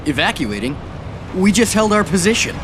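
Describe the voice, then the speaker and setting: An actor playing a brash, hot-headed young American naval officer in a war game cutscene. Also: male voice, high-pitched, sing-song, normal speed, background noise. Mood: indignant. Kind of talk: game cutscene acting and first-person war narration. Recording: loud music behind.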